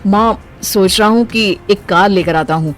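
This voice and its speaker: A man plays a wisecracking young husband in an animated family tale. He sounds teasing, sarcastic and put-upon, and music runs under the voice. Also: high voice, unhurried. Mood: exasperated. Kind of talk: animated Hindi moral story voice acting.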